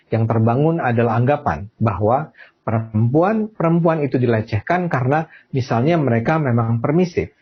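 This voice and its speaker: Husky-voiced male commentator, measured and explanatory, muffled low-fidelity clip. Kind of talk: scripted commentary. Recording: dull lo-fi clip.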